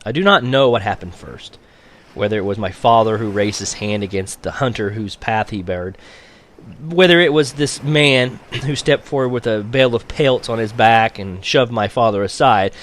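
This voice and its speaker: A man reading a novel aloud as an audiobook narrator, in a measured storytelling manner. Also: on the low side, fairly bright tone, slight background noise. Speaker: audiobook reader.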